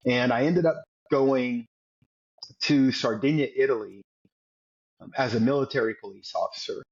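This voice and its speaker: Husky mid-pitch man, 40s, earnest storytelling guest, dull lo-fi sound. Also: moderate pace, darkish tone. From United States. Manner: earnest, repeats words while telling a story. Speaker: podcast guest, former Navy SEAL. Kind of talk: storytelling interview.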